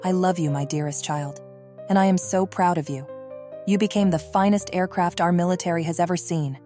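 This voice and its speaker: A woman playing a remorseful, loving mother and secret agent, speaking a measured confession in an audio drama. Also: fairly low pitch, fairly even intonation, dark tone, soft background music, close to an everyday voice. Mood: tender remorse.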